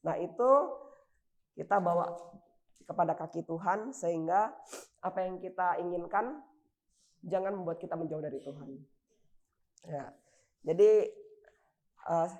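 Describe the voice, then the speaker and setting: A woman's voice: fairly low pitch, warm tone. A woman preaching a sermon in church, casual and full of fillers, her voice strained by a cough. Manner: informal, with frequent fillers and restarts.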